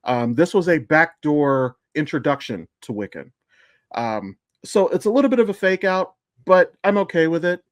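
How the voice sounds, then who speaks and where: A man's voice: on the high side, very animated, moderate pace, no room sound, studio quality. A man co-hosting a podcast with casual, emphatic commentary on shows and films, explaining at length and swearing freely. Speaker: podcast co-host.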